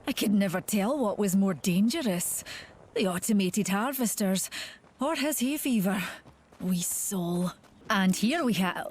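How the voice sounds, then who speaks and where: A woman's voice: mid-range voice, a little mellow. An actress voicing an eccentric, motherly scientist in a video game, with a Scottish accent and a wistful, theatrical, musing delivery. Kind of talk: game character monologue. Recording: faint noise and ambience behind.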